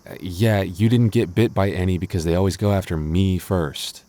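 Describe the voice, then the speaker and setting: A man's voice: low-pitched, fairly even intonation, normal speed, warm tone. A man playing a clingy, jealous boyfriend in an intimate audio roleplay, stammering and mock-indignant but affectionate. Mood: tender, teasing.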